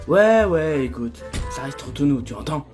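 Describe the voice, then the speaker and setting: A man's voice: a little high, animated, a little slow, darkish tone, faint music behind. A man voicing a sassy, vulgar demon streetwalker in a cartoon dub, theatrical and sarcastic. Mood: conspiratorial.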